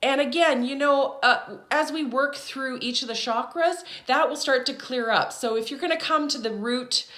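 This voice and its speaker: Chatty woman with a slightly high voice. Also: moderate pace, hardly any pauses, bright, forceful tone. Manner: chatty, checks in often with "okay?" and "right?". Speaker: spiritual teacher and channeler. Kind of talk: casual instructional talk to viewers.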